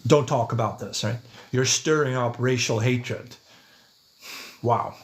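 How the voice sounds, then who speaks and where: A man's voice: medium pitch, takes it slowly. Man talking casually on a livestream. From Netherlands. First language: Dutch. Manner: conversational, thinking aloud, checking with "right?" and "yeah". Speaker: podcast host, livestreamer. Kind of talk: casual livestream monologue, answering chat comments.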